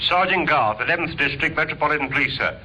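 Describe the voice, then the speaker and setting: An actor playing a formal, dutiful district police sergeant in an old radio drama, reporting in clipped phrases. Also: male voice, on the high side, expressive, fast talker, pushed and bright. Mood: dutiful, brisk. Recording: dull old radio recording, faint hiss.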